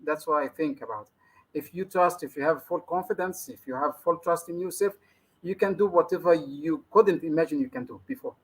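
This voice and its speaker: A man's voice: a little high, a little fast, slightly rough, poor audio. A man from Egypt, a native Arabic speaker with an Egyptian accent, talking as a guest trainer in a casual live interview and often adding "you see". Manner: chatty, keeps adding "you see", laughs easily.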